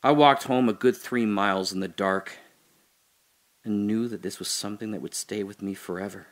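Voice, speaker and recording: An actor playing a guilt-ridden trapped man in an audio drama monologue, halting and intense, confessing and pleading. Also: fairly low pitch, close to an everyday voice. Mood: guilty, hushed. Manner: halting, intense, swinging from hushed confession to anguished cries.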